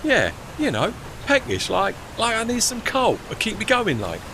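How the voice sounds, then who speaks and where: An actor voicing a chummy, unschooled working steamboat in an audio play, blunt and colloquial, with a London Cockney accent. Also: male voice, high-pitched, huge pitch swings, moderate pace, gravelly, noisy background, exaggerated voice. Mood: breezy. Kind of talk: audio drama dialogue.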